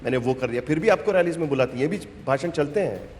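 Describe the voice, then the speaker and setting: A man's voice: on the high side, expressive, quick pace, darkish tone, slight background noise. Insistent male interviewer in a reverberant hall. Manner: insistent, presses and repeats questions. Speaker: journalist interviewer. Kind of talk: probing political interview.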